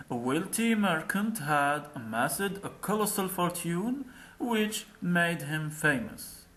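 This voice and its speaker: A storyteller giving a scripted narration of a folk tale in a measured, deliberate manner. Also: mid-range voice, fairly bright tone, rough recording.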